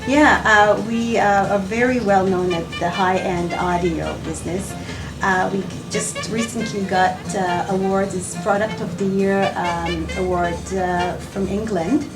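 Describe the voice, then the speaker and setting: A woman speaks as a company representative in an interview, pitching in an unscripted, halting way. Her voice is a little far from the mic, with music clearly audible behind it. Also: fairly even intonation. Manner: halting, searching for words. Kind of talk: unscripted promotional interview answers. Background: background music.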